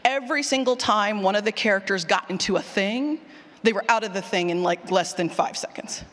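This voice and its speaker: Animated woman presenting in an echoing hall. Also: mid-range voice, brisk. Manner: animated, colloquial, emphatic, mildly sweary, puts questions to the room. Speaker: speaker leading a workshop on audio drama writing. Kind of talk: informal conference talk to an audience. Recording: long hall reverb from a large room.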